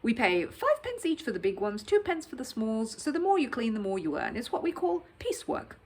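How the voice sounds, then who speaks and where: A woman voicing a brisk, fair-minded male factory foreman in a children's storybook, plain-spoken and practical. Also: fairly high pitch, wildly swooping pitch, slightly rough, heavily acted. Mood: encouraging.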